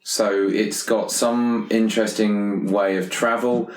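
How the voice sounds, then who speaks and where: A man talking casually and a little haltingly as a player in a tabletop game, in an echoing room. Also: fairly low pitch, flat delivery. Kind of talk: casual improvised game chat. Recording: roomy, echoing sound.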